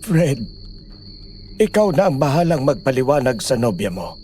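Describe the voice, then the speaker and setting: A man's voice: medium pitch, big pitch swings, moderate pace, dark tone, a little hiss or hum, lightly acted. A man playing a stern, grave village father who gives orders and warnings in a Filipino horror audio drama. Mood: grave, commanding. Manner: commanding, grave.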